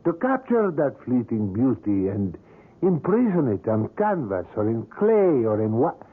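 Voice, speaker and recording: Obsessive older sculptor; smooth and persuasive, mid-pitched, thin lo-fi radio sound. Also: male voice, very animated, a little slow. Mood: courtly, insistent. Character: obsessive older male sculptor, suave and insistent. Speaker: sculptor character. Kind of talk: 1970s radio drama acting. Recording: tinny lo-fi old radio broadcast.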